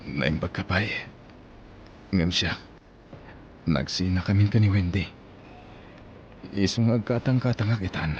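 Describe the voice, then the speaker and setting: A man's voice: low voice, a little slow, pauses often, dull audio, a little hiss or hum. A man playing a regretful, humble estranged father in a radio drama, with an Ilocano accent. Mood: apologetic, sad. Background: music bed.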